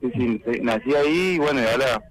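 A man's voice: mid-range voice, quick pace, rough recording. A young man from Patagonia with an Argentine accent, chatting informally as a boxer in a radio interview. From Puerto Madryn, Chubut, Argentina. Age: young adult. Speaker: professional boxer, interview guest.

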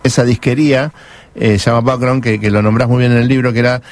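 A man's voice: medium pitch, flat delivery, fast talker. Male radio host. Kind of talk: casual radio interview.

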